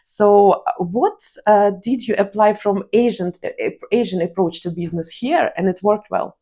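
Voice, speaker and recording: A woman's voice: mid-range voice, normal speed, warm tone. A woman from Ukraine with a Ukrainian accent, hosting an interview and asking long, rambling questions full of fillers. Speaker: podcast host. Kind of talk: interview conversation.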